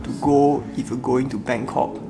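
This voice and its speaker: A man's voice: medium pitch, fairly even intonation, moderate pace, vocal fry. A young man chatting casually and informally as a travel vlogger, recorded in a room at home. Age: young adult. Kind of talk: casual travel vlog talk. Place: small living room at home, seated indoors. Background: music.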